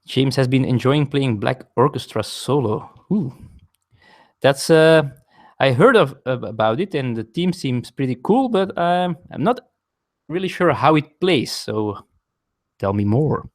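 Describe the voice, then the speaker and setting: A man with a non-native English accent talks through a board game from his table at home. His pitch rises and falls a lot as he explains each rule. He chats with viewers between turns and often stops to correct himself. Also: animated, a little slow. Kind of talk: live-streamed board game play explaining rules.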